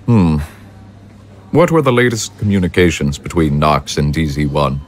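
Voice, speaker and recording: A man playing a commanding, calculating ruler in an audio drama, speaking with deliberate authority. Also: very deep voice, big pitch swings. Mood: probing, cool. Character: commanding, calculating male ruler of a militarist state.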